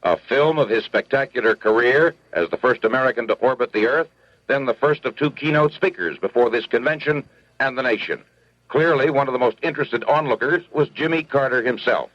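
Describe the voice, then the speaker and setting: A man's voice: mid-range voice, fairly quick, dry recording. Guy doing a formal news report, dead dry room, muffled old radio. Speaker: news correspondent. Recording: dry, dull lo-fi radio audio.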